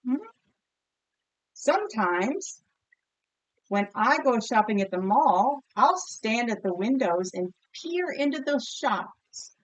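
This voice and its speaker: A woman's voice: on the high side, animated, takes it slowly, poor audio. A woman teacher leading a read-aloud vocabulary lesson with children, encouraging and questioning, enunciating words for pupils to repeat.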